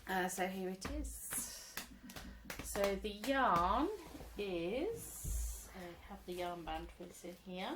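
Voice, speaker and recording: Woman in her thirties, slow unhurried chatty crochet vlogger, slightly croaky from a cold, faint hiss behind. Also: mid-range voice, animated. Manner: chatty, rambling, laughs often. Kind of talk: casual show-and-tell chat. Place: at home.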